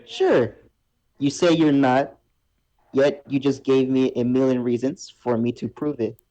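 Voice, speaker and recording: A man's voice: mid-range voice, steady tone, measured pace, mellow, highs cut off, natural voice. Man reading a teasing, stubborn fan fiction character. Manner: needling, insistent.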